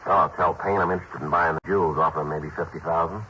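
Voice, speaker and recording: An actor playing a terse, deadpan police sergeant in a 1950s radio crime drama, asking clipped, flat, businesslike questions. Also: male voice, sits low, fast talker. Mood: planning. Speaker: police detective. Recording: dull 1950s radio broadcast, faint hiss.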